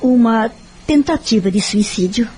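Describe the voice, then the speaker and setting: An actress with a Brazilian accent playing a gentle, reflective woman friend in an audio drama, speaking earnestly and explaining. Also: fairly high pitch, animated, a little slow, breathy edge. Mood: grave, earnest. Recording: music behind the voice.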